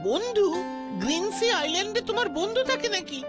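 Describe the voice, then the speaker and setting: A woman's voice: extremely high pitch, lively intonation, moderate pace. A woman voicing a curious little boy in a children's cartoon, eagerly asking questions, with music behind. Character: curious, excitable little boy, admiring younger sidekick. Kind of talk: Bengali children's cartoon dubbing.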